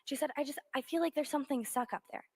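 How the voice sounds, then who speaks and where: Big sister at memorial, loud voice, kinda high, telling a story and laughing. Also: female voice, fast. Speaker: older sister speaking at a memorial.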